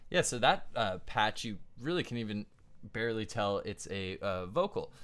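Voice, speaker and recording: A young man giving a relaxed, casual music production tutorial, talking with plenty of ums and uhs as he explains his steps. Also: on the low side, normal speed. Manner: relaxed, frequent ums and uhs, thinking aloud. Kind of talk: casual screen-share tutorial.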